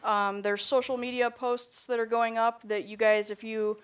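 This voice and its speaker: A woman with a smooth, clear voice on the higher side presents at a staff meeting. She delivers her announcements informally. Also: level tone, moderate pace.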